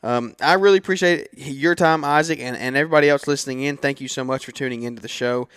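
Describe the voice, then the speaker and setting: A man hosts a casual interview podcast in a smooth, clear voice. He talks quickly and informally, with effusive encouragement for his guest. The sound is dry and close. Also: medium pitch, fairly bright tone, no echo. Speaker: podcast host.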